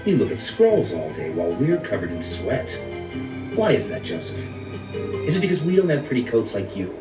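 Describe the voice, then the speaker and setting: A man voicing a resentful, mocking older brother in an animated film, with sarcastic delivery. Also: mid-range voice, moderate pace, lightly acted. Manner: sarcastic, taunting. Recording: echoey, distant film audio with music.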